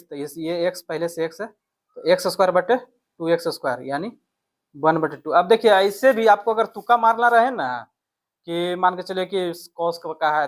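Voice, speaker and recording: A maths teacher working through exam questions step by step, addressing students and often checking in with short tags. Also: medium pitch.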